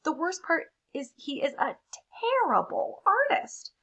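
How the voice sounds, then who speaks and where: Woman voicing a chunky toddler crayon, storybook, desperate, indignant, high, wildly lively intonation. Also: a little slow, exaggerated voice. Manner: pleading, indignant.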